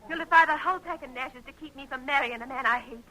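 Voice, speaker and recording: A spirited young American bride from a ranch in a vintage radio Western. Her voice is high and very bright, with a tense edge. She speaks plainly and earnestly, in pleading melodrama style. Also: quick pace, low-quality recording. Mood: defiant, pleading. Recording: vintage radio drama recording.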